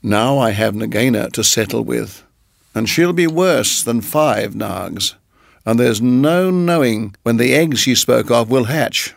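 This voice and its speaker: Man doing a fierce little mongoose, brisk, impatient, gravelly tone, dry close sound, storybook reading. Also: medium pitch, expressive, dry recording. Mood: urgent, impatient. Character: brave young male mongoose, impatient and fierce.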